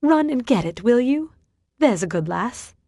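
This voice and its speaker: A woman's voice: very animated, a little slow, warm tone, no echo, studio quality, exaggerated voice. A woman voicing a pompous older man who puts on a fake British accent and talks down to everyone. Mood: condescending. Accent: put-on British. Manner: pompous, booming, haughty.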